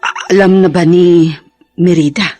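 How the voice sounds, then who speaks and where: A woman with a Filipino accent playing a firm, caring middle-aged friend in a radio drama, her voice husky. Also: lowish voice, steady tone, moderate pace, warm tone, dry recording, faint music behind, natural voice. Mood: shocked. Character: earnest, devout middle-aged female confidante, firm and caring.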